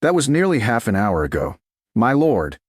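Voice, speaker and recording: A man's voice: medium pitch, normal speed. A man voicing a careful, composed medieval woman. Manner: careful, even, quietly restrained. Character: composed, dutiful medieval woman serving a duke.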